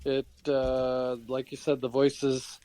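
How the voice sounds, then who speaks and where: A man's voice: mid-range voice, monotone, takes it slowly, warm tone, dry recording. A man co-hosting a podcast, giving casual commentary in an easygoing, agreeable manner. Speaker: podcast co-host.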